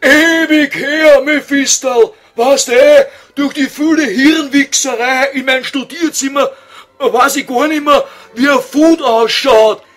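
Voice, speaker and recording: A man's voice: very high-pitched, slow pace, fairly bright tone, soft background music, full character voice. A man voicing a grumpy, tormented old scholar, whining and exasperated, with a Viennese accent in a comic radio sketch.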